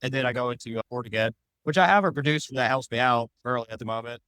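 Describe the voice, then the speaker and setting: Clear-voiced man, rambling conversationally. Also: mid-range voice, racing, a bit bright, dry recording, low-quality recording. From United States. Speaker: podcast guest, podcast creator. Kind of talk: conversational interview answers.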